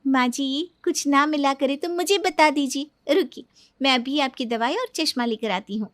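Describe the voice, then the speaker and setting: A woman's voice: high-pitched, moderate pace, fairly bright tone, no echo. A woman voicing a sly, sweet-talking younger daughter-in-law in a cartoon story, coaxing and self-assured. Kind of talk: Hindi cartoon story dialogue.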